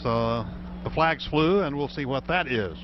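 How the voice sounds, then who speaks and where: A man's voice: mid-range voice, animated, a little slow. A man with an American accent calling play-by-play live as a football radio announcer. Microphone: broadcast booth microphone. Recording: dull radio broadcast, loud stadium background noise.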